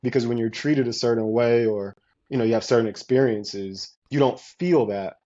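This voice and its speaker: A man's voice: medium pitch, normal speed, dark tone. A man talking in a casual interview, emphatic and repetitive, often restarting his sentences. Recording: muffled lo-fi audio.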